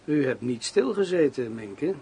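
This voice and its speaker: An actor in a radio drama playing a formal, cautious police inspector with an official manner. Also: male voice, medium pitch, sing-song, a little slow, mellow, slight background noise. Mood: formal, reassuring.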